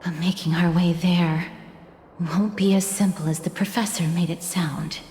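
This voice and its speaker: A woman playing a courteous, composed young companion in a video game, speaking in a polite, measured and formal way. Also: lowish voice, fairly even intonation, darkish tone, a little hiss or hum, natural voice. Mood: calm, explanatory. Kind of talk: scripted video game dialogue. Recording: echoey reverberant hall, music behind.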